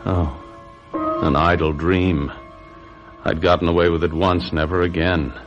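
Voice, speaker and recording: An actor playing a bitter, scheming husband who is a trapped murderer, with an American accent and a brooding, melodramatic old-time radio delivery. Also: male voice, low-pitched, flat delivery, measured pace, highs cut off, over music. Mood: seething. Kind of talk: old-time radio mystery narration and acting.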